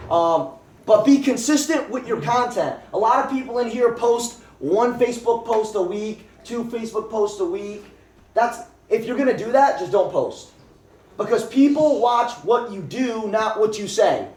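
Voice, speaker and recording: A man in his twenties giving a joking, fast and repetitive motivational pep talk at a home meeting in a packed living room. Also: high voice, animated, a little mellow. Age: 20s. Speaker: network marketing presenter. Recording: echoey room, voice from far off.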